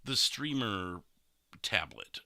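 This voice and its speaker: A man's voice: fairly low pitch, wildly swooping pitch, slow, creaky, pushed and bright, no room sound. A man chatting casually as a streamer, rambling a bit, with a stuffy nose that has him breathing through his mouth. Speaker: gaming streamer hosting a podcast. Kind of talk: casual chat.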